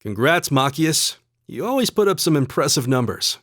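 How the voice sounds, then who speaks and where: A man voicing a calm, courteous and earnest young male student fighter in a video game, speaking in a measured, polite way. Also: fairly high pitch, dry recording, studio quality. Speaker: video game character voice actor.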